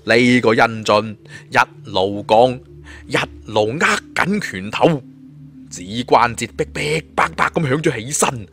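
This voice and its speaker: A man narrating an adventure tale in as a theatrical, expressive audiobook storyteller. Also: mid-range voice, slow, faint music behind.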